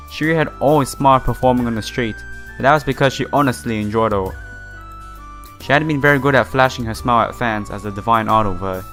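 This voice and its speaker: A man reading a visual novel aloud and commenting casually as a let's player, halting and informal. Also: mid-range voice, fairly bright tone. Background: game music.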